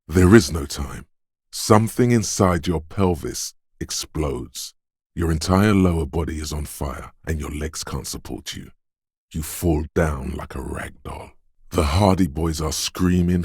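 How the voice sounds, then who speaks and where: A man voicing the quick-witted, alert inner voice of a detective's reflexes in a video game, narrating dramatically in the second person with a deep, smooth, intense delivery. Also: darkish tone, dry recording, studio quality, heavily acted. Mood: grave, urgent.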